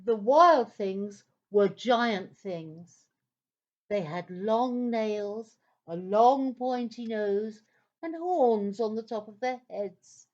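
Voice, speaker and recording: A woman playing a patient storyteller in a children's audio play, narrating in a deliberate, expressive, theatrical way. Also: on the high side, highs cut off. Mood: storytelling. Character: patient female storyteller, gently exasperated with her characters.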